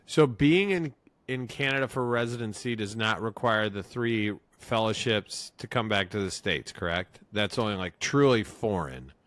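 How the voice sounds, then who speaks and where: A man's voice: on the low side, expressive, moderate pace, fairly bright tone, no echo. A man from Cincinnati co-hosting a podcast, teasing and joking in loose banter. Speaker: podcast co-host.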